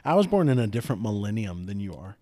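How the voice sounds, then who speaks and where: Joking man with a lively, swinging pitch. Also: fast, no room sound, studio quality. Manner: joking, teasing, playfully argumentative. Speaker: podcast co-host. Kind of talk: casual podcast banter.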